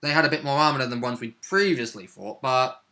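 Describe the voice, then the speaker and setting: Bright-voiced man, casual tabletop gamer. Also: medium pitch, fast. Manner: informal, conversational interjections. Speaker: tabletop player. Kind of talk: casual chat about game play.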